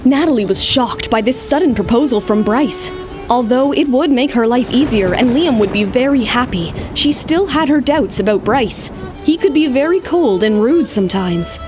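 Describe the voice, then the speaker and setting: A woman's voice: a little high, animated, normal speed, music in the background. A woman narrating a scripted audio drama as storyteller.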